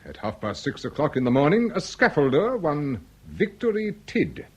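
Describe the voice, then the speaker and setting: Husky, florid Victorian lord. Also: male voice, a little high, huge pitch swings, moderate pace, full character voice. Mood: ominous, dramatic. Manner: florid, mannered, pompous. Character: courtly, fretful, florid Victorian male lord. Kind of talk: British radio drama acting.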